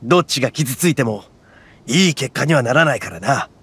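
An actor voicing a loud, brash young oni gang leader in a game, swaggering and rough-talking but big-hearted. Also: male voice, medium pitch, animated, fairly quick. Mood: serious. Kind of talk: game voice acting. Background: game music.

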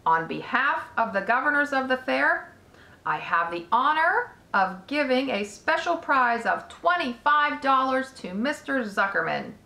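A woman voicing a pompous male fair announcer from a storybook, giving a ceremonious, emphatic loudspeaker speech. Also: sits high, sing-song, unhurried, gravelly, reverberant, voice pushed up, heavily acted. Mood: grand, proud.

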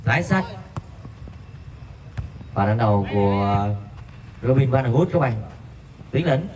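A man's voice: lively intonation, takes it slowly, darkish tone, slight background noise. Distant male amateur football commentator. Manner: reads viewer messages between plays, jumps sharply higher on shots. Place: outdoor floodlit five-a-side pitch at night.

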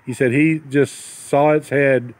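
A man's voice: mid-range voice, fairly even intonation, slow, no room sound. A middle-aged or older man in casual conversation, telling things in a folksy, rambling way. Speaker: vlogger, expatriate farmer. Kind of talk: casual chat. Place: outdoors at a waterside building site. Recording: noisy outdoor recording, ambient background noise.

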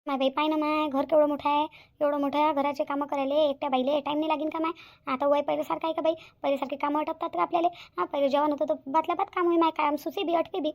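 Woman as fussy, overworked cartoon mother, middle-aged, high creaky voice, Varhadi Marathi accent, complaining chatter. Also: level tone, rapid-fire. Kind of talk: cartoon voice acting.

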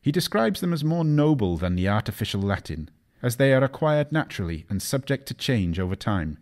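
A man reading a scripted educational narration in a measured, didactic way, carefully enunciating foreign example words. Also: mid-range voice, sing-song, darkish tone. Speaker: narrator of an educational language history video.

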